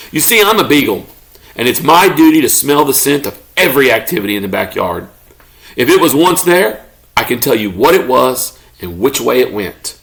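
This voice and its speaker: A man voicing a good-natured, grumbling pet beagle telling his own storybook tale in an expressive, mock-indignant way. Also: mid-range voice, gravelly. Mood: wistful, eager.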